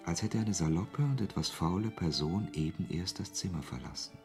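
An actor playing a wry author who narrates his story in a measured, literary way in a German radio play. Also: deep voice, expressive, gravelly, over music.

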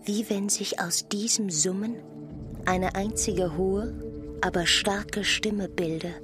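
A woman's voice: mid-range voice, steady tone, slow pace. A woman reading scripted literary narration in an audio drama. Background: loud music.

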